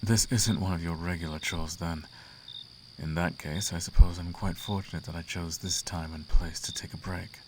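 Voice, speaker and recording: A man's voice: low voice, steady tone, moderate pace, background noise. A man playing a suave, flirtatious, imperious demon prince in an intimate roleplay monologue, teasing and silky with grand formal phrasing. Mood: curious, flirtatious. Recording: clear outdoor cricket ambience behind.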